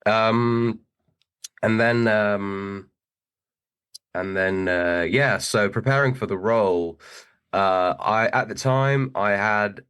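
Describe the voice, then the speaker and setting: A young man with a British accent tinged with American, speaking as an actor guest in a relaxed, hesitant interview chat, laughing easily. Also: on the low side, slow pace, clean tone. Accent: British with a hint of American.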